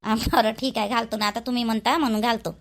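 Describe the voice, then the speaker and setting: A woman's voice: fairly high pitch, fairly even intonation, fast talker, background noise, natural voice. A woman voicing a dutiful, accommodating young married daughter-in-law in a village cartoon, with a Vidarbha Marathi accent. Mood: agreeable, eager.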